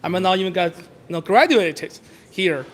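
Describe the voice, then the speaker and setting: High-voiced young man, halting and earnest. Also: expressive. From outside Canada. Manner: halting, many restarts and fillers, earnest. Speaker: interviewee. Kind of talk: street interview on campus.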